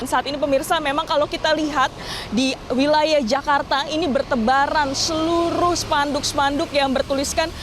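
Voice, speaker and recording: A woman's voice: sits high, fairly even intonation, moderate pace. A woman giving an on-location news report as a field reporter, outdoors at a roadside. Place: outdoors at a roadside bus stop. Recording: outdoor street with clear traffic noise.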